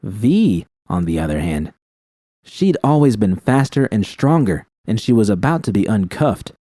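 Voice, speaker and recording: A man's voice: lowish voice, very animated, a little slow, dark tone, no echo, studio quality. A man reading scripted first-person dramatic fiction as an audiobook narrator.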